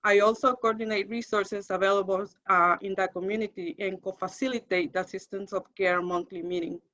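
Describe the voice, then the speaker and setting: A woman from Honduras introduces herself over a muffled video call. Her voice is bright and crisp, and she speaks steadily and matter-of-factly. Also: mid-range voice, fairly even intonation, brisk. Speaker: program director. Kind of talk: panel self-introduction.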